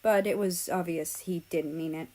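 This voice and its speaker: A woman reading fiction aloud as an expressive narrator. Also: on the low side, normal speed. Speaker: story narrator.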